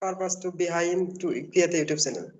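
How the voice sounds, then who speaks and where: Polite, hesitant high-voiced man. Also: fairly even intonation, brisk. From Assam, India. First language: Bengali. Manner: polite, hesitant, many restarts. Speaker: English learner. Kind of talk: casual practice conversation.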